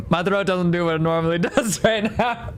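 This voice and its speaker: A man livestreaming a game, chatting casually and reading dialogue aloud in a playful, expressive, joking way. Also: high voice, moderate pace, slight background noise.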